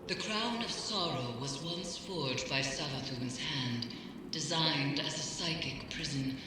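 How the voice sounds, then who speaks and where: A woman's voice: low-pitched, noisy background. A woman playing a solemn witch in a video game, with grave, portentous, incantatory delivery. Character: solemn, ominous witch leading dark rituals. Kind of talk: dramatic scripted video game acting. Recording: long hall reverb, clear background music.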